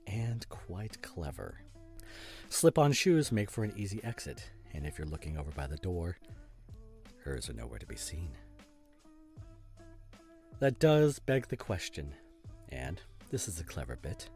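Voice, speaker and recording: A low male voice acted by a man plays a self-satisfied detective unmasking a culprit in an audio drama. He is grandiose and deliberate, savoring dramatic pauses. His pitch swoops widely for effect, over faint plucked guitar. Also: big pitch swings, darkish tone, heavily acted. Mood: triumphant, teasing. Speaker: voice actor in an audio roleplay. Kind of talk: theatrical scripted ASMR roleplay monologue.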